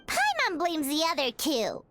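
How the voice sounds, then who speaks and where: A woman voicing a tiny, excitable, blunt childlike fairy companion in a video game, squeaky and exclamatory. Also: a little slow, faint music behind, heavily acted. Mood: indignant, eager. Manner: exclamatory, speaks of self in third person.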